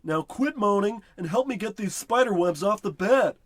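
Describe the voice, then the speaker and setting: A man's voice: high voice, expressive, moderate pace, dry recording. An actor playing a cocky, bossy salesman in a parody old-time radio comedy, patronizing and oblivious. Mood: dismissive, patronizing.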